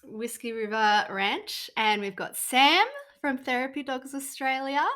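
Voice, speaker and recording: A woman's voice: high-pitched, level tone, a little slow, pushed and bright. A woman co-hosting a podcast, introducing the guest and asking casual questions with upbeat interjections. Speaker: podcast co-host.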